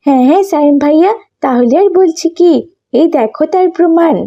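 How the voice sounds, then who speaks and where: A woman voicing an eager, emphatic little refugee girl in a cartoon story, excited to share news. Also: high voice, a little fast, muffled recording. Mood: excited, proud.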